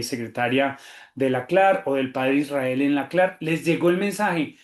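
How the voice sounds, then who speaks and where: A man with a Colombian accent hosts an online talk, his voice sitting a little high as he rushes along with fillers and self-corrections. He sounds like he is on a computer mic in a room with noticeable echo. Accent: Colombian Spanish. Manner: quick, animated talk with many fillers and restarts. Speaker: theology professor hosting a webinar. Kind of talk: webinar presentation.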